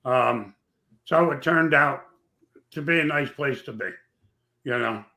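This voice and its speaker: A man with a raspy voice, a podcast guest telling rambling, folksy stories in casual chat. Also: medium pitch, slow pace, pushed and bright. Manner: rambling, folksy, with many fillers. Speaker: podcast guest, former track owner.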